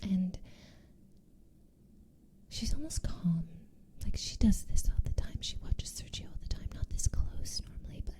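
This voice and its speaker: A woman's voice: mid-range voice, lively intonation, a little slow, pauses often, mellow. A woman whispering a casual recap of a TV series, with lots of "like" and "you know".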